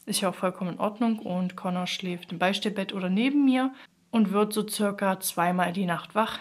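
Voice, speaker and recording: A woman's voice: medium pitch, normal speed. A woman in her thirties chatting casually in a daily family vlog, recorded at home on a camera at arm's length. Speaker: family vlogger, mother of three. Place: furnished rooms at home, indoors. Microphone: handheld or propped camera at arm's length.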